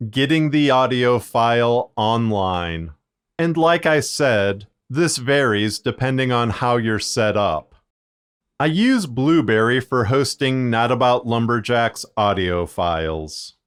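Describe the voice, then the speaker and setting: A man with a smooth, clean voice at a medium pitch narrates a how-to guide. He takes his time, moving methodically through each point. The delivery is scripted but conversational and plain-spoken. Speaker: podcast creator and tutorial narrator.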